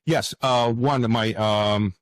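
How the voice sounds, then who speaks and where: A man's voice: medium pitch, fairly even intonation, slow, no echo. A man speaking reflectively as an interview guest, earnest and hesitant, often repeating words and phrases. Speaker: author, podcast guest.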